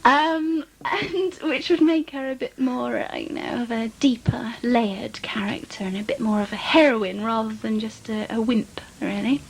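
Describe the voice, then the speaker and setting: A woman's voice: a little high, normal speed, hardly any pauses, slightly rough, low-quality recording. A woman in her thirties chatting casually as an interview guest, hesitant, amused and self-deprecating. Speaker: interviewed actress. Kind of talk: casual reminiscing chat.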